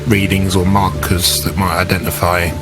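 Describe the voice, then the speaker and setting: A man's voice: deep voice, little pitch movement, normal speed. A man playing a tabletop roleplaying game, casually describing what his character does. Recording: very loud music and rain behind. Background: music, rain sound effects.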